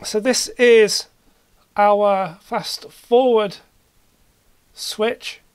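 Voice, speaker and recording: Slow-paced hobbyist talking through a repair. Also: medium pitch, fairly even intonation, smooth, dry recording. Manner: thinking aloud while working. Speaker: hobbyist repairer, video presenter. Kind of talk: casual hands-on repair commentary. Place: indoor workbench.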